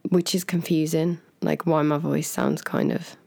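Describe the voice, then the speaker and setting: A woman co-hosting a podcast in casual chat, her voice croaky from a cold, chatty and laughing often. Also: low-pitched, little pitch movement, a little slow, dark tone. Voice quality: naturally croaky. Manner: chatty, laughs often, trails off mid-sentence. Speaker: podcast co-host.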